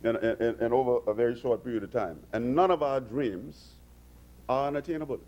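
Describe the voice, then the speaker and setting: A man's voice: medium pitch, brisk, darkish tone. Bahamian man giving a parliamentary speech. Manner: earnest oratory with repeated words and restarts. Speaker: government minister addressing parliament. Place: legislative chamber.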